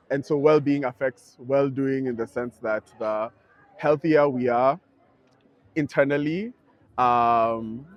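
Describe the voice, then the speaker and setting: A young man, speaking in a thoughtful, measured and earnest way as he reflects in an interview. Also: medium pitch, highs cut off. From Kenya. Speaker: founder of an advocacy network.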